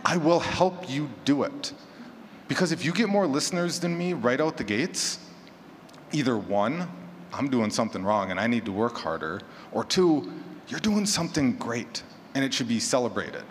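A man's voice: mid-range voice, animated, moderate pace. Guy on a panel giving pep talk advice, casual n emphatic, roomy hall sound. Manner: encouraging, emphatic, casual swearing. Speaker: panelist and podcaster. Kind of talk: informal motivational panel talk. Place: large convention room with audience. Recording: echoey hall PA, noisy room.

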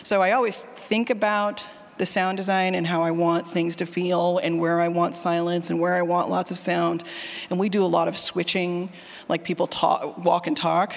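A speaker giving an informal conference talk on writing, with animated asides and questions repeated back to the audience. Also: medium pitch, moderate pace, creaky. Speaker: presenter, fiction podcast writer. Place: conference session room. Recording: hall with long reverb.

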